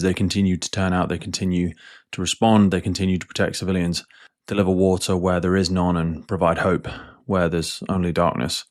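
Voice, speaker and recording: A man speaking as a podcast host in an earnest, measured way, moving between scripted introduction and interview talk. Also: deep voice.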